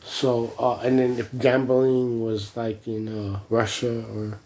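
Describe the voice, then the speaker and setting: Casting: American male vlogger, forties, medium-pitched voice, loose slangy delivery that wanders and stalls. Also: monotone, a little mellow. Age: 40s. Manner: meandering, slangy, halting. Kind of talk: rambling casual chat.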